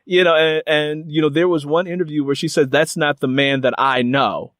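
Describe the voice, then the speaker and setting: A man's voice: on the high side, fairly even intonation, moderate pace, a bit bright, no echo, clean studio sound. An American man hosting a conversational interview, putting questions in a wordy, circling way with repeated phrases. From United States.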